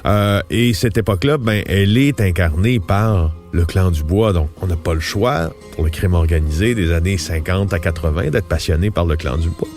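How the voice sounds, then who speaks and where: A man's voice: low-pitched, moderate pace, hardly any pauses, a little mellow, faint music behind. A man with a Québécois accent, an investigative journalist and host, explaining things earnestly in a podcast interview. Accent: Québécois French.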